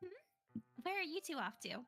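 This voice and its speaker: A woman playing a cheerful, confident high school club president in a visual novel game. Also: big pitch swings, normal speed, bright, forceful tone, faint music behind, heavily acted. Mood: curious, teasing.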